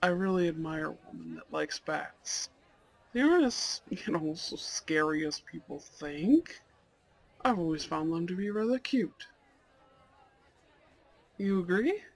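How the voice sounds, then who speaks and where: Shy, courteous goth boyfriend type played by a man, creaky and unhurried, romantic audio roleplay with faint chatter behind. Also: a little high, lively intonation, heavily acted, low-quality recording. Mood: friendly, enthusiastic. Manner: hesitant, soft-hearted, nervous little laughs. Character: shy, polite, dorky young goth man on a blind date.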